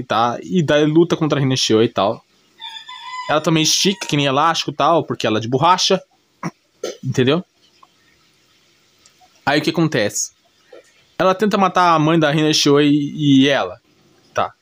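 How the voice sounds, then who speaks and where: Higher-voiced Brazilian man retelling a story casually. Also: no room sound. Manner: colloquial, repetitive, frequent check-in tags. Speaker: video creator narrating an anime recap.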